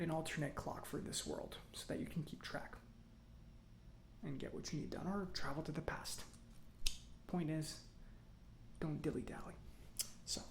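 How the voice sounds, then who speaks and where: Soft ASMR robot mechanic, acted by a man: near-whispering, slightly high male voice with gravelly fry, chatty and smug, musing at leisure. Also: a little hiss or hum. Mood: gently scolding, then upbeat, self-satisfied. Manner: unhurried, hesitant musing with pauses. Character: chatty, self-satisfied government robot mechanic, gently scolding. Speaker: ASMR roleplay performer. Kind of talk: soft-spoken ASMR roleplay.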